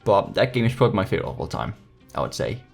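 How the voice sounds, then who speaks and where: A man's voice: low voice, very animated, racing, vocal fry, faint music behind. An eighteen-year-old man from Denmark with a Danish accent, chatting casually and jokily to viewers as a YouTuber. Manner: jokey, slangy, playfully sarcastic. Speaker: YouTuber hosting a Q&A.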